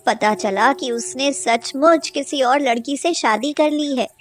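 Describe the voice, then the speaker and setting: A woman's voice: sits high, a little slow. A woman reading a scripted story as a storyteller. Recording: music behind the voice.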